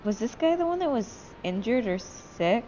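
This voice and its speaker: A woman's voice: fairly high pitch, sing-song, normal speed, dark tone, muffled recording, slight background noise. A woman hosting a gameplay video, chatting casually, joking and swearing freely. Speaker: gaming video host. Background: game music.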